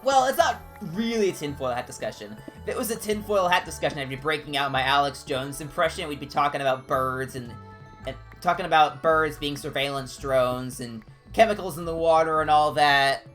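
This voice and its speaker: A man with a higher-pitched voice, chatting casually and discursively as a game streamer. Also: a little fast, soft background music. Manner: animated, discursive, jumping between tangents. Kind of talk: casual rambling livestream chat during gameplay.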